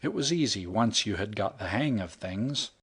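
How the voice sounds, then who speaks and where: A man narrating a humorous short story in the first person, wry and even. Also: on the low side, normal speed, husky. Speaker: audiobook narrator. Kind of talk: scripted narration.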